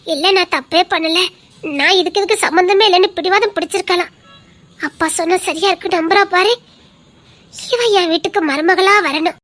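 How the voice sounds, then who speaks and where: Soft-spoken forgiving mother, as an actress plays her. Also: extremely high pitch, a little fast. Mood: gentle. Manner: soft, soothing. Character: gentle, forgiving middle-aged mother. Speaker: neighbour, the boy's mother.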